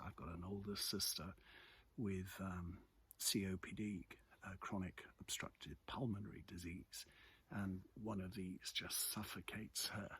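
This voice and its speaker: A British man giving a casual, off-the-cuff commentary on the news in a reflective, halting way. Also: low-pitched, fairly bright tone. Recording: clearly noisy ambient background.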